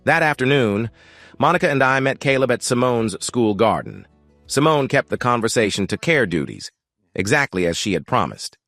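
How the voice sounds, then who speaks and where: A man reads a scripted first-person story, measured and sober. His pitch moves around a lot, and the recording is dry and close with no room sound. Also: very animated, a bit bright, no room sound, faint music behind. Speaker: narrator.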